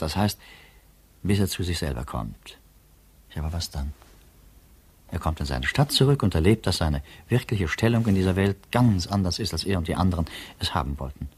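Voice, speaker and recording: Male prosecutor, 1950s radio drama: low register, reflective, ironic, philosophizing. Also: a little mellow, breathy edge. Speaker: actor in a radio play.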